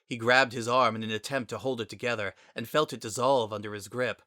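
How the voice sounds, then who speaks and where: A man's voice: mid-range voice, fairly even intonation, brisk, pushed and bright, no room sound, clean studio sound. A man reading a fantasy story aloud as a dramatic, scripted narration.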